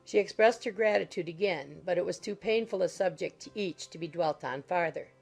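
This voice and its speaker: A woman's voice: on the low side, fairly quick, creaky, breathy edge, faint music behind. A woman reading scripted literary narration as the narrator of a classic audiobook.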